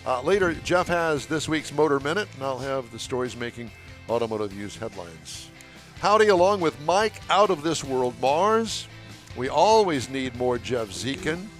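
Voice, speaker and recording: A man's voice: medium pitch, sing-song, moderate pace, faint music behind. A man hosting a car talk show, chatting casually with folksy, joking banter into a headset mic. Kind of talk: casual live radio chat. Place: remote show setup at a car event. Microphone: headset microphone.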